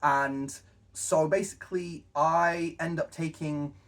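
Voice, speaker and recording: A young man co-hosting a casual retrospective chat, halting and often repeating his phrases as he goes. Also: fairly high pitch, steady tone, a bit bright. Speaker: co-host, web series creator. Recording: distant from the mic.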